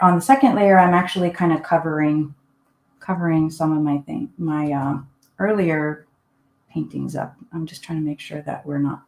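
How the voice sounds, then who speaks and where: Woman from finland chatting thru a painting demo at her desk, kinda low voice, echoey room. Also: a little mellow. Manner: casual, chatty, thinking aloud while painting. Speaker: art teacher. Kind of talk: live painting demonstration. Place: home desk. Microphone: live online class setup. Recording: roomy echo.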